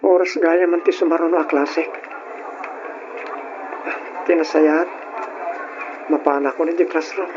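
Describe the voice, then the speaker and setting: An actor playing a frail, eager schoolboy son with a weak heart in an Ilocano-accented radio drama, speaking in a plaintive, labored way. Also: male voice, on the high side, steady tone, measured pace, pauses often, over music, natural voice. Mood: weary, musing. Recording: muffled radio recording with faint noise.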